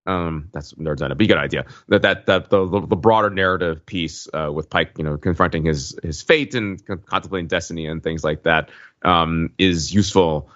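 Male co-host, deep register, stop-start conversational explainer, close dry sound. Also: a bit bright, no room sound, dull audio. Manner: halting, repeats words while forming thoughts. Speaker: podcast co-host. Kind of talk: casual explanatory discussion.

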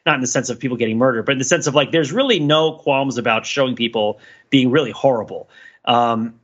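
A man with a fairly high, very bright and forceful voice talks casually as a podcast co-host. He analyses pop culture in an animated, emphatic way, wandering through digressions as he goes. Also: lo-fi sound.

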